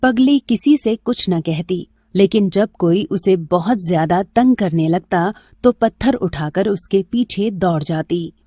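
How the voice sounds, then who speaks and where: Woman reading out a story, unhurried, mid pitch, close dry recording. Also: warm tone, no room sound. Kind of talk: scripted story narration.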